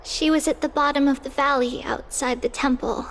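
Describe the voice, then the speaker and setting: A woman voicing a small, wise, girlish oracle with a measured, earnest manner in a video game. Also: sits high, fairly even intonation, a little hiss or hum, natural voice. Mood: subdued.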